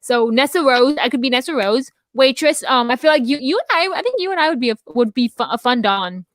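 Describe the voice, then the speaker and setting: A young woman with a high-pitched voice chats in a rambling, encouraging way as a podcast co-host. Her voice sits fairly high. The sound is dry and close. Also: animated, fairly quick, dry recording. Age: young adult. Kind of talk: casual chat.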